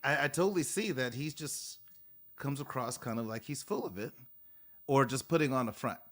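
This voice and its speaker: A man co-hosting a casual podcast, giving his opinions in a measured, thoughtful way. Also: mid-range voice, a bit bright. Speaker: podcast co-host. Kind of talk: casual recap chat.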